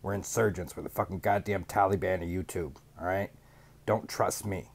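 A man in his thirties putting on a smug rival streamer who cheerfully admits to being a villain, speaking deadpan into a studio mic in a small home studio. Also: low-pitched, normal speed. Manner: deadpan mock-confession, sarcastic. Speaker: podcast guest doing a mocking impression. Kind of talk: sarcastic in-character rant. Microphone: studio mic on boom arm, headphones.

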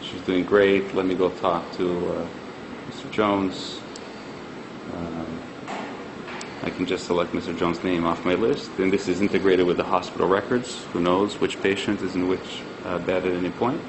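A man with a very deep, creaky voice walks through a product demonstration. His delivery is conversational and a little hesitant. There is a clear ambient hum behind him in an indoor space. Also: little pitch movement, moderate pace.

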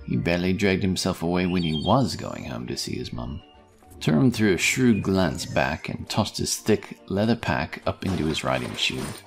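A man's voice: deep voice, sing-song, normal speed, gravelly, soft background music. A man reading scripted fantasy narration as the storyteller.

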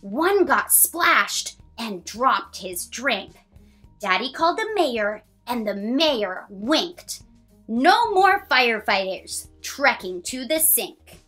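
Animated, bright-voiced woman reading to children. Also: a little high, soft background music. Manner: expressive, sing-song, drawn-out reading to a young child. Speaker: host reading children's books aloud. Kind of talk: children's picture-book read-aloud with counting along.

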